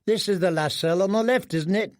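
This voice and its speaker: A man's voice: sits high, fairly quick, no echo, clean studio sound, pitched above the performer's own voice, natural voice. A man voicing callous young jailer boys in an audiobook, crude and blunt in manner. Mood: puzzled.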